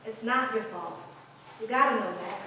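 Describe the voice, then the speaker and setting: A woman's voice: on the high side, normal speed, sharp tone, a little hiss or hum. A woman playing a sassy, wry but supportive confidante in a staged play reading. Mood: soft, consoling. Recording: very echoey room, distant mic.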